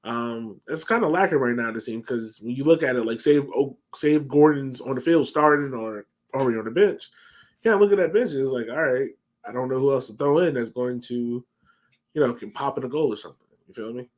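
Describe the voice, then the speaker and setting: A man's voice: mid-range voice, fairly quick. An American man, a podcast host, casually discussing sports on a video call. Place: home room, on a video call. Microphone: headset on a video call.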